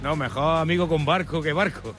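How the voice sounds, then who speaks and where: A man's voice: on the high side, a little fast, a bit bright. A man speaks as a football fan. He is colloquial and jokey, outdoors with music playing behind him. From Granada, Spain. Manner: joking, colloquial. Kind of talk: casual vox pop chat. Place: outside a stadium.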